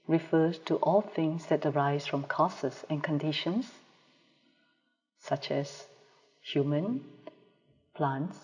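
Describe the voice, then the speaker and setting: A woman leading a guided meditation as a teacher, calm and measured, with pauses between phrases, recorded over a live video call. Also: low voice. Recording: reverberant hall-like sound, live video call.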